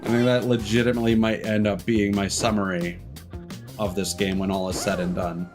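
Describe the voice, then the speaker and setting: A man's voice: on the low side, little pitch movement, fairly quick. A man doing casual live game commentary, rambling candidly and swearing offhandedly. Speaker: game streamer. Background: game music.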